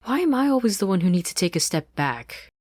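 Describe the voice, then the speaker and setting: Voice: medium pitch, big pitch swings, fairly quick, darkish tone, dry recording, clean studio sound, pitched above the performer's own voice. A narrator voicing an insistent, indignant teenage schoolgirl who complains with stubborn emphasis, in a fan fiction reading. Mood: aggrieved, complaining.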